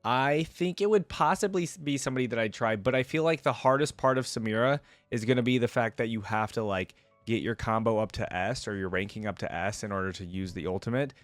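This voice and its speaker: A man's voice: medium pitch, a little fast, hardly any pauses, dry recording. A man giving casual, musing off-the-cuff commentary as a reaction video host. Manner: musing, hedging, short interjections. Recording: game video music behind voice.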